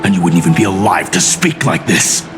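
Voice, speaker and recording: A man's voice: low voice, big pitch swings, brisk. A man voicing a proud, loyal military commander in a video game, formal and haughty in the way he speaks. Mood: indignant. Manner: formal, haughty, disdainful of critics. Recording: music under the voice.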